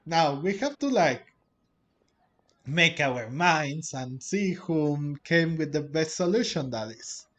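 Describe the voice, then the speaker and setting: A man's voice: on the high side, expressive, slow, a bit bright. A man hosting a game playthrough, reading dialogue aloud and commenting in a rambling, hesitant way. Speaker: gaming video host.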